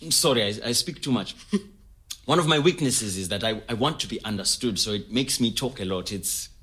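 Audio drama role: philosophical man, lowish voice, deliberate, earnest, keen to be understood. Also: expressive, fairly bright tone. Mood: apologetic. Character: Zimbabwean man, earnest, philosophical, talkative filmmaker. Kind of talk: scripted oral-history audio drama told as interview.

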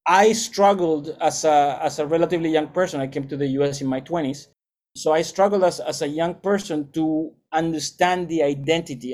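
Middle-aged male music teacher, slightly high voice, measured and explanatory, restarts often. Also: a little mellow. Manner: thoughtful, lecturing, with frequent restarts. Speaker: music educator and salsa band leader. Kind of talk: explanatory interview answers.

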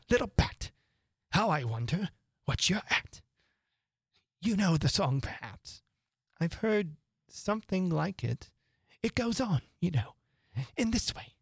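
A woman voicing an excitable, mournful male hatter who rambles theatrically. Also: fairly low pitch, no room sound, clean studio sound, pitched above the performer's own voice. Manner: theatrical, rapid swings from chatter to gloom.

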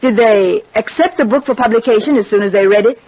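A woman's voice: fairly high pitch, fast talker, natural voice. An actress playing a prying, coolly insinuating woman questioner in an old-time radio drama. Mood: pointed, polite. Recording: muffled old radio recording.

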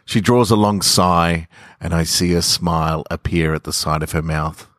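Australian male podcast host and story reader. Low voice, very dry close-miked sound. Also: fairly even intonation, moderate pace, fairly bright tone, dry recording. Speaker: podcast host and author reading own stories. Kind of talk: casual intro and measured literary story reading. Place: garage.

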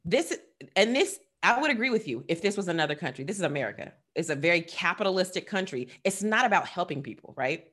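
A woman's voice: fairly low pitch, big pitch swings, fast, fairly bright tone. A woman speaking with passion and emphasis as a podcast co-host in casual discussion, with laughing asides.

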